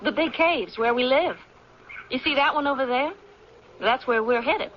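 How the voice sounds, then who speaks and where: A woman's voice: high-pitched, normal speed, natural voice. An actress playing an earnest, loyal young mountain woman in a radio drama, plain-spoken with her father. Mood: calm, guarded. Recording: dull, noisy old radio recording.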